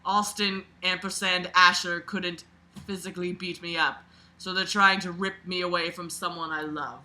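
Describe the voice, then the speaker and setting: A woman reading a book aloud as a host, casual and expressive, breaking off for sarcastic, sweary, mocking asides. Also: lowish voice, normal speed.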